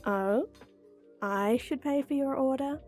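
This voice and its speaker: A woman's voice: high voice, slow pace, a little mellow, faint music behind. A young woman playing a playful, flustered friend with a secret crush in an intimate audio roleplay, teasing, giggly and hesitant. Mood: cheerful, giggly. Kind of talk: intimate one-sided ASMR-style roleplay acting.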